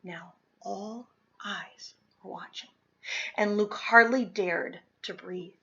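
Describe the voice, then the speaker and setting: A woman reading a children's picture book aloud expressively, with deliberate phrasing, dramatic pauses and emphasis. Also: mid-range voice, steady tone, vocal fry, bright, forceful tone, dull audio. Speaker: read-aloud storyteller.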